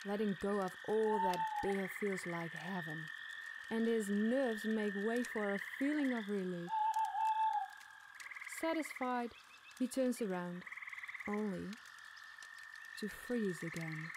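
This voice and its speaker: A woman telling a scary campfire story in a suspenseful, deliberate, dramatic narration. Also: mid-range voice, heavy background noise. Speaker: podcast storyteller.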